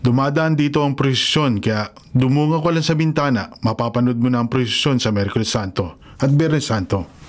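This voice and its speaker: A man's voice: medium pitch, moderate pace, lightly acted. A man playing a kindly, devout carpenter and grandfather in a radio drama, speaking earnestly with a Filipino accent. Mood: warm, hospitable.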